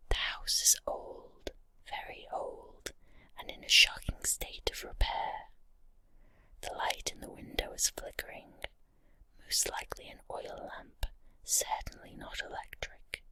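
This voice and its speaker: Whispering woman narrating slowly. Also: pushed and bright. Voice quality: breathy unvoiced whisper. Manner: slow, deliberate, short phrases with pauses. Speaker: ASMR narrator. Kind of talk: whispered ASMR guided visualization, scripted second-person story narration.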